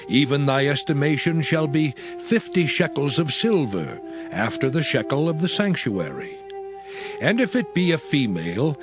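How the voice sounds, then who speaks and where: A man voicing the Lord as a stern, majestic deity in a dramatized scripture reading, proclaiming slowly and solemnly. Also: fairly high pitch. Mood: instructive. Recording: music bed underneath. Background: background music.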